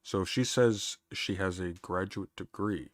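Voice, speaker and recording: A man's voice: deep voice, a little slow, no echo. A man in his thirties debating online, dry and dismissive, repeating himself when cut off. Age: 30s. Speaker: streamer in a debate.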